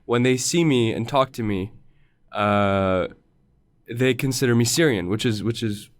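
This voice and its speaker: A man who grew up in the United States, a podcast guest speaking thoughtfully in casual conversation, with halting restarts. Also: medium pitch, dry recording, clean studio sound.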